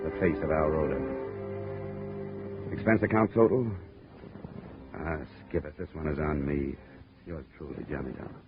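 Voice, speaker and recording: A man's voice: a bass voice, normal speed, pauses often. An American actor playing a tough, world-weary male insurance investigator narrating his case tersely and wryly in 1950s radio drama. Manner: terse, wry, hardboiled. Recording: muffled 1950 radio recording, music behind.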